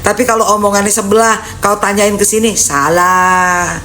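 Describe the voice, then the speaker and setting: A woman's voice: medium pitch, fairly even intonation, normal speed, pushed and bright, a little hiss or hum. A woman with a Jakarta Indonesian accent chatting casually and bluntly, with some sass, as she answers viewers on a live stream. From Jakarta (Betawi area), Indonesia. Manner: blunt, sassy, colloquial.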